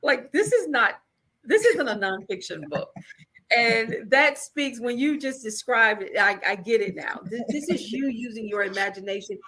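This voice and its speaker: A woman's voice: on the high side, lively intonation, moderate pace, sharp tone, low-quality recording. A woman from Alabama hosting an interview, enthusiastic and encouraging, often stumbling over the start of a phrase. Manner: enthusiastic, encouraging, repeats words when starting. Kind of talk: casual interview chat.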